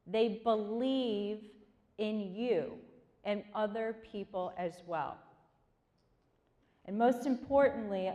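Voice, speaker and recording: A woman's voice: medium pitch, a little mellow. A woman in her forties from Korea gives a chatty motivational talk to students, often asking the audience questions. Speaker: guest speaker. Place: large hall. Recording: long hall reverb.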